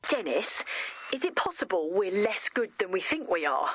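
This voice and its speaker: A woman's voice: mid-range voice, very animated, normal speed, husky, no echo. An actress playing a cheerful, genteel woman with a zeal for slashing in a video game, polite and sweetly unhinged. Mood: thoughtful. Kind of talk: scripted video game voice acting.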